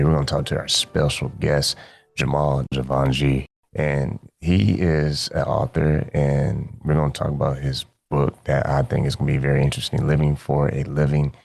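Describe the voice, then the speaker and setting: A man's voice: rumbling low, flat delivery, normal speed, gravelly, a little mellow, husky, no room sound. A man hosting a radio interview show in a laid-back, friendly way, often calling his guest "man". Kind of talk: casual interview chat.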